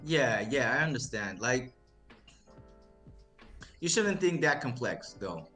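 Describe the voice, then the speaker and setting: A man who is not a native English speaker, speaking as a guest on a casual livestream interview, halting and full of restarts. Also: medium pitch, big pitch swings, a bit bright, faint music behind. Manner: halting, with many restarts and fillers. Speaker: interview guest, token project owner.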